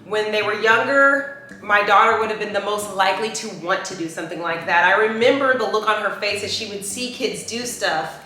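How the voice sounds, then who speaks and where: A middle-aged woman co-hosting a casual chat show, talking in a frank, slangy, expressive way. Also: medium pitch, brisk, a little hiss or hum. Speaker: co-host. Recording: very echoey room, faint music.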